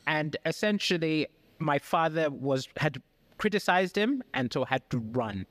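A male comedian from Malawi chats animatedly, laughing often as he tells his stories. His voice sits somewhat high. The studio sound is dry and close. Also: expressive, a little slow, fairly bright tone, no echo. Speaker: guest comedian. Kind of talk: casual interview chat.

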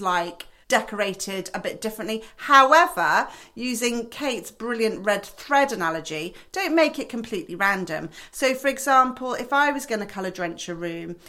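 Woman, bright clear voice, lively casual podcast chat. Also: a little high. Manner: lively, laughs easily, chips in. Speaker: podcast co-host.

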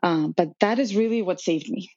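A woman's voice: lowish voice, normal speed, darkish tone, no echo. Chatty woman from Serbia, dull lo-fi sound. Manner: chatty with frequent ums and laughs. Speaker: podcast guest. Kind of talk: conversational storytelling.

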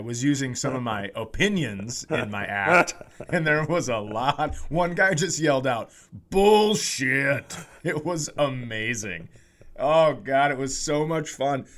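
A man's voice: mid-range voice, fairly bright tone. Casual middle-aged man telling comic stories. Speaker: comedian and podcast host. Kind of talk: casual comedic podcast chat.

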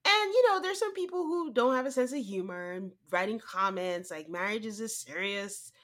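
A woman's voice: medium pitch, animated, a bit bright. Woman, podcast co-host, nasal, cold, casual chat, laughing asides. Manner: chatty, laughing, quick asides. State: congested from a cold.

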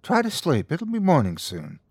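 A man voicing a loving young woman who speaks kindly and calmly to reassure her partner in an audiobook story. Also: mid-range voice, fairly quick, no room sound, studio quality, voice pushed up, natural voice. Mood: calm reassurance. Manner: kind, calm, coaxing.

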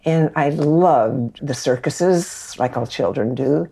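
A woman in her eighties with an American accent, telling her own story with pauses. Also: deep voice, gravelly, warm tone, husky. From Kansas City, United States. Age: 80s. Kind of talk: personal storytelling.

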